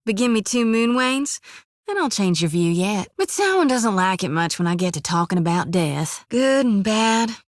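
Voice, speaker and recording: An actress voicing a folksy, earnest young priestess of a death god in a video game, with a drawl, rambling and plainspoken. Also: female voice, medium pitch, very animated, moderate pace, no echo, clean studio sound, close to an everyday voice.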